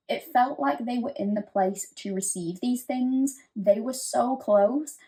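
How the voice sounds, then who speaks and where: A woman giving a pick-a-card tarot reading in a gentle, reassuring, chatty way, with frequent fillers. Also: medium pitch, rough recording. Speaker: tarot reader.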